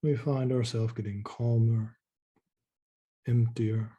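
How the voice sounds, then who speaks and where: Guy doing a guided meditation, super slow n soft, really mellow relaxed voice, lots of pauses. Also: mid-range voice, husky, highs cut off. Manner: slow, calming, with long pauses and repeated words.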